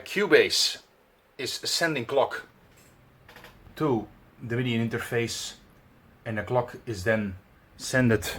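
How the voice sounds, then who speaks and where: Slow, low-voiced Belgian man explaining gear. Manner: halting, many fillers, searching for words. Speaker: tutorial presenter. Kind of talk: instructional demonstration.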